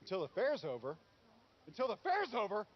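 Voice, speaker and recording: Upbeat coach: oblivious, brittle forced cheer, highish animated voice, comedy drama. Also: male voice, fairly quick, lo-fi sound. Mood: exasperated. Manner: forced cheer, pep-talking. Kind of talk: comedic screen acting.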